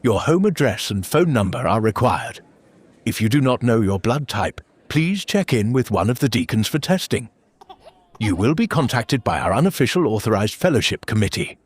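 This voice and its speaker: An actor playing a stiff, rule-bound male church minister who reads announcements in a formal, deadpan, bureaucratic way. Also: mid-range voice, normal speed, slightly rough. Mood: solemnly formal. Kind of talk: satirical audio drama.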